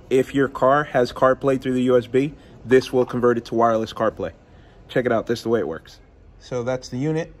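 A man in his forties, speaking casually and matter-of-factly from a garage workshop into a phone camera, with frequent ums. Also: mid-range voice. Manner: plain-spoken, matter-of-fact, with frequent ums and uhs. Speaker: motorcycle audio shop owner. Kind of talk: casual product demo and review. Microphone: phone camera at arm's length.

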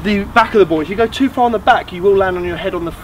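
Higher-voiced man coaching outdoors, noisy background. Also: racing. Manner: encouraging, emphatic with repetition. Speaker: host and acrobatics coach. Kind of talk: instructional how-to tutorial. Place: grassy field outdoors. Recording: outdoor noise, traffic ambience.

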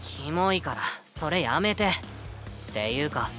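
A man's voice: a little high, expressive, slow, over music. An actor playing a blunt, flippant young man who speaks in a dry, offhand way. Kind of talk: anime voice drama acting.

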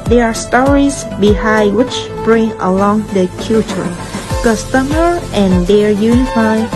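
A woman in her twenties from Vietnam with a Vietnamese accent, reading a prepared pageant introduction over music. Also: on the high side. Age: 20s. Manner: slow, careful reading of a prepared speech. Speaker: pageant contestant. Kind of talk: scripted narration.

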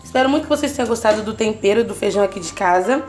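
A woman's voice: mid-range voice, racing, darkish tone, faint music behind. Chatty woman talking through a home recipe. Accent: Brazilian Portuguese. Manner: chatty, constantly checking in with the viewer. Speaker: cooking channel host. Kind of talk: casual home cooking tutorial. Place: home kitchen, at the stove.

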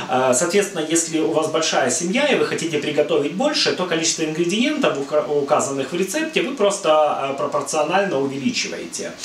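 A man's voice: fairly high pitch, recorded from a distance, a little hiss or hum. Middle-aged man talking in an echoey kitchen. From Ukraine. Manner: rambling, many "uh" fillers, friendly and explanatory. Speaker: cooking channel host. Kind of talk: casual cooking talk to camera. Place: home kitchen indoors. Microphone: far camera.

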